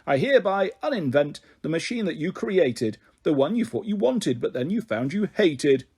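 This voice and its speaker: A man's voice: on the high side, big pitch swings, normal speed, darkish tone, slightly rough, exaggerated voice. A man voicing a pompous, touchy male professor who declares things in rhymes, in a storybook reading. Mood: grandly rhyming. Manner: speaks in bouncy rhymes, indignant. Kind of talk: reading a children's book aloud.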